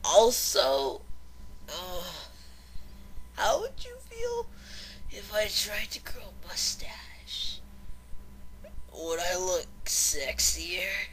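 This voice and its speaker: A woman's voice: medium pitch, sing-song, extremely slow, pauses often, fairly bright tone, slight background noise, exaggerated voice, rough recording. A woman playing a drunk, lovesick young mare disc jockey who slurs and rambles melodramatically in a fan fiction audio drama. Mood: tipsy, giddy. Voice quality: slurring.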